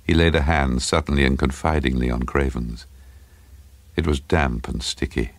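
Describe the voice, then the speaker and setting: A man narrating a dark literary story in a dramatic, scripted storytelling style. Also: a bass voice, fairly even intonation, moderate pace, dry recording.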